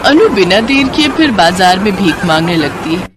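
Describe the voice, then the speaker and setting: A woman's voice: medium pitch, sing-song, normal speed, noisy background. A woman narrating a cartoon story in a scripted, emotive storybook manner.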